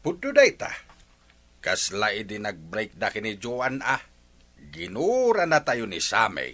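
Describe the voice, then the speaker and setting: An amused husband, played by a man, talking with a low but lively voice that swings widely. His tone is bright and sharp. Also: a little slow, full character voice. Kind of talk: radio comedy drama acting.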